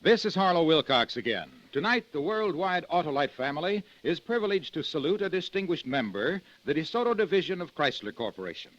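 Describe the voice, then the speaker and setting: A man's voice: a little high, very animated, fairly quick, hardly any pauses, a bit bright, dry recording. A man reading a scripted sponsor message as an old-time radio commercial announcer. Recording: dull vintage radio recording.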